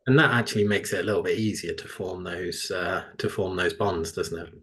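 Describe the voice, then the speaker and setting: Deep-voiced persuasive man. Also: steady tone, fairly quick, a bit bright. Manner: persuasive, leans on tag questions. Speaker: panellist. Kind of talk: panel discussion.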